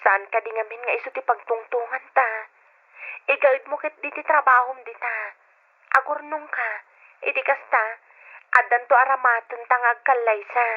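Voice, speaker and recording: A woman's voice: fairly high pitch, fairly even intonation, normal speed, natural voice. An actress playing an earnest, reassuring young woman in an Ilocano radio drama, with a Filipino accent. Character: young woman, dutiful daughter, soothing her boyfriend. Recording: narrow-band old radio drama sound.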